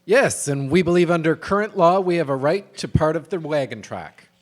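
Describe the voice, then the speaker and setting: Man as Victorian wheelman, earnest, reasonable, measured, formal, slightly high pitch, radio drama. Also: lively intonation, natural voice. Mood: assertive.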